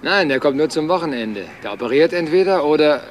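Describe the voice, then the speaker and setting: A man's voice: on the high side, expressive, brisk, close to an everyday voice. An actor playing a breezy, flippant, chatty businessman in a crime radio play. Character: flippant businessman, son of an eminent doctor. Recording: outdoor ambience, faint noise.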